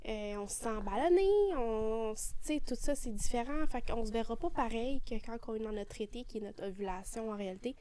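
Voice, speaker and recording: A woman's voice: a little high, a little fast, hardly any pauses, warm tone, a little hiss or hum. A young Québécois woman chatting as podcast co-host, often dropping in English expressions and hesitant fillers. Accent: Québécois French. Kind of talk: casual chat.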